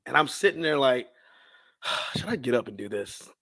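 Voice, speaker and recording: An American man in his thirties tells stories as a podcast guest. His pitch sits in the middle and the sound is dry, with no room on it. He talks casually and in slang, voicing his own past thoughts and quick exchanges as he goes. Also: expressive, gravelly, pushed and bright, dry recording. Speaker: podcast guest, sports public address announcer. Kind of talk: casual storytelling in an interview.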